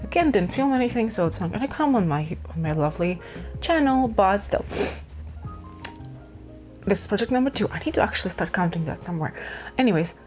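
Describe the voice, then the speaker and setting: A woman's voice: mid-range voice, expressive, fairly quick, long pauses, darkish tone, faint music behind. A woman from Ukraine chatting casually to camera about her craft projects, rambling with many fillers and restarts. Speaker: craft vlogger.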